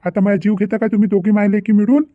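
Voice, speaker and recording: Man playing a stern, very high-pitched father. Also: flat delivery, very fast, phone-quality sound, natural voice. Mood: scolding, exasperated. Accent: Vidarbha Marathi. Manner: scolding, repetitive, insistent. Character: stern, exasperated middle-aged father of daughters. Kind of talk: amateur cartoon family drama.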